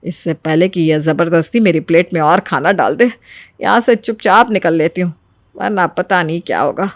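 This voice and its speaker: A woman playing a wary middle-aged Indian mother-in-law in an animated moral story, grumbling in exasperated asides and retorts. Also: on the low side, normal speed, no echo, studio quality, natural voice.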